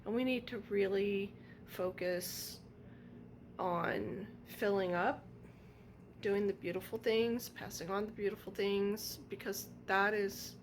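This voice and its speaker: Woman vlogger losing her voice, talks real slow, pauses a lot. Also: medium pitch, fairly even intonation, a little hiss or hum. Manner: slow, reflective, with many pauses and fillers. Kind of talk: casual vlog chat.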